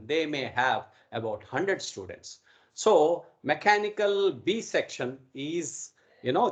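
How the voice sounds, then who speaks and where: A man's voice: a little high, a bit bright. A man with an Indian accent giving an informal lecture as an instructor on an online call, often checking in with okay and right. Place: online video call recording.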